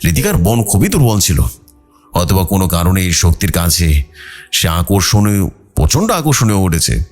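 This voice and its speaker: A grave exorcist , voiced by a male narrator, speaks low and husky. He is firm, fearless and commanding in this horror tale, with music underneath. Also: very animated, moderate pace, darkish tone, full character voice. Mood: calm, resolute.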